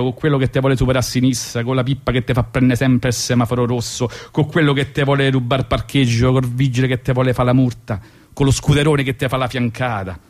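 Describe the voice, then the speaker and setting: A Roman man in his forties, a radio storyteller with a middle-pitched voice. He talks in a colloquial, conversational way, spinning ironic monologues with wry mock philosophy. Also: a little mellow. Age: 40s. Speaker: radio show host and storyteller.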